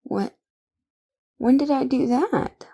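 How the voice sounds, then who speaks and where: A woman's voice: high voice, takes it slowly, creaky, mellow, breathy edge, exaggerated voice. A woman acting in an audio drama as a dazed, hungover, melodramatic woman who is plaintive and tender. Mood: groggy, pained. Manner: groggy, plaintive, emotional.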